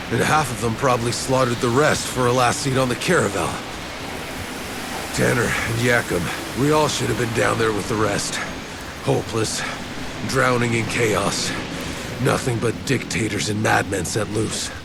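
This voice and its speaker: A man's voice: mid-range voice, normal speed, gravelly, very noisy, lightly acted. An actor playing a weary male veteran survivor in a video game, with a grim, heavy way of telling things. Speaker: game character. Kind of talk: video game cutscene acting. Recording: game mix with loud ambience.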